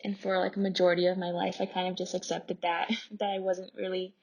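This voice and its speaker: A woman's voice: on the low side, level tone, a little fast, a little mellow, lo-fi sound. A young American woman reflecting casually on personal experience. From Mexican family background. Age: young adult. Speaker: anonymous testimony participant.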